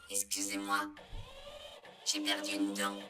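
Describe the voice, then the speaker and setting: A woman voicing a vain female household robot in a parody dub, speaking in a flat, mechanical way through a robot filter. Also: extremely low pitch, takes it slowly, noisy background. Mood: cold. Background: loud music.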